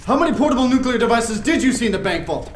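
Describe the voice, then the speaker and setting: Bad-cop interrogator: American man, impatient, aggressive, very quick delivery, military game scene with faint noise behind. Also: very high-pitched, animated. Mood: sarcastic anger. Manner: sarcastic, aggressive, clipped. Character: hostile, sarcastic male agent, impatient bad-cop interrogator. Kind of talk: realistic military game cutscene dialogue.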